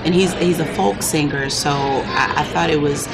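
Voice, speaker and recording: A woman gospel singer with a low, deep, smoky voice, chatting in a relaxed, talkative way as an interview guest and growing animated when she tells stories. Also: moderate pace, vocal fry, heavy background noise. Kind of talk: casual interview chat.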